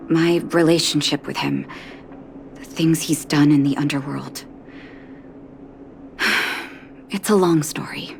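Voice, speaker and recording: A woman playing a principled, caring doctor in a video game, measured and serious with weary pauses. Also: low voice, creaky, a little hiss or hum, heavily acted.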